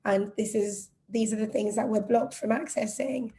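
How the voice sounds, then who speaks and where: A woman's voice: a little high, steady tone, normal speed, mellow. A young woman from Zimbabwe, a charity outreach officer, speaking earnestly and reflectively on a panel. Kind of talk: panel discussion.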